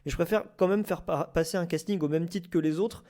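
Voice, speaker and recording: A man's voice: on the high side, brisk, mellow. A man talking in a casual debate, with an informal, wordy, slangy way of speaking. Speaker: debate participant, amateur audio fiction maker.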